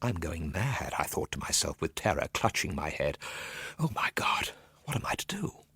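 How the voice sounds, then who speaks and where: An actor playing an overwrought, gloomy minor civil servant in a radio ghost story, theatrical and suspenseful. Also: male voice, deep voice, big pitch swings, moderate pace, husky, no room sound, in a whisper, heavily acted. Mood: despairing panic. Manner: theatrical, suspenseful, dropping at times to hushed asides. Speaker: actor narrating a radio drama. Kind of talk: dramatic first-person ghost story narration for radio.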